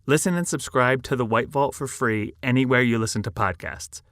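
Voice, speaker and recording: A man's voice: medium pitch, brisk, fairly bright tone, dry recording, clean studio sound. A man reading a scripted podcast promo as an announcer, with an enthusiastic delivery.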